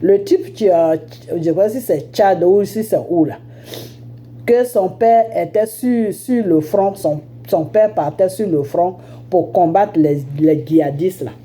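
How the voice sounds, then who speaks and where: Woman, Ivorian accent, low and very mellow voice, head cold, informal online commentary, rhetorical check-ins. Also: a little slow. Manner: storytelling, punctuated with rhetorical questions and check-ins. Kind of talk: informal spoken political monologue.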